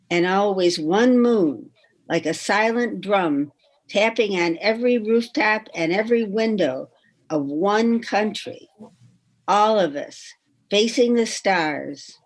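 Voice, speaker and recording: A woman reading a poem aloud in measured, deliberate phrases. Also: medium pitch. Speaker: reader at a poetry reading.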